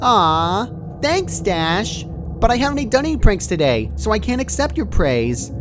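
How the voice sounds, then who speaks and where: Reader putting on a giggly crazy girl pony, super high and bouncy, sweet but creepy, noisy audio. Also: sing-song, unhurried. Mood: giggly, playful. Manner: giggly, bubbly, casually chiding. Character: cheerful, deranged female party pony, sweetly menacing.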